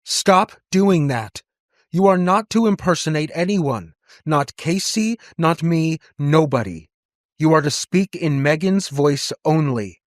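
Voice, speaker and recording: A stern but wounded aunt in an audiobook, played by a male narrator. The pitch is lifted well up and the delivery is emphatic and full of feeling. The sound is close and dry. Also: expressive, slow pace, no echo. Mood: furious. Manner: emotional, emphatic. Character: distraught, stern aunt, wounded woman inventor.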